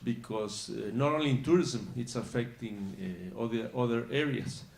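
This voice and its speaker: A man from Mexico answering questions on a conference panel, speaking haltingly with many pauses. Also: mid-range voice, creaky. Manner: halting, many uh fillers and restarts. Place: conference hall. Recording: roomy conference hall.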